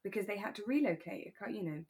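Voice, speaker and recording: A woman's voice: mid-range voice, expressive, a little fast, a little mellow. British female podcast host, easygoing conversational delivery, informal and unscripted. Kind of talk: relaxed casual chat.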